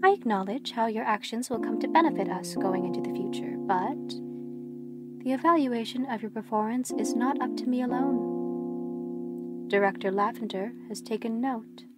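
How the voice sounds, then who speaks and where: A woman playing a stern, measured supervisor of a secretive facility in an audio drama, formal, clipped and authoritative. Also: a little high, lightly acted. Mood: warning. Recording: prominent musical backing. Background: plucked guitar music.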